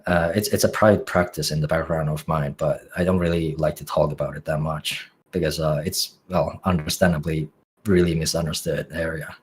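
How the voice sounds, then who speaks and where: A man with a Finnish accent talks through his ideas as a guest on a podcast. His voice sits very low, and his answers come in reflective, halting phrases full of fillers and restarts. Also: fairly even intonation. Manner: musing, searching for words with many fillers and restarts. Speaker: podcast guest, music producer.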